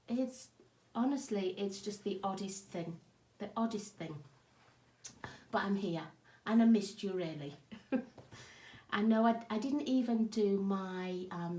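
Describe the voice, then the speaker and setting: A woman with a croaky, hoarse voice from a cough, chatting casually with viewers by name as she hosts a craft live stream from her home craft room. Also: mid-range voice, warm tone. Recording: echoey room.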